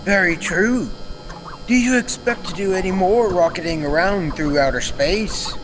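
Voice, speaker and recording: A young man playing a fussy, excitable inventor in an old-time radio serial. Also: high-pitched, unhurried. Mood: pleased, assured. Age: young adult. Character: excitable male scientist and inventor proud of his machine. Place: school stage production. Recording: echoey hall with background noise.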